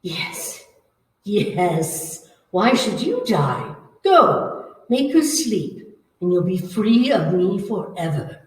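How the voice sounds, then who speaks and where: An actress playing a menacing, coaxing female ghost hungry for blood, slow and commanding in an old-time radio horror style. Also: medium pitch, very animated, a little mellow. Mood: urgent, goading. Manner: slow, commanding, taunting, theatrical. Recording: echoey room, far from the mic.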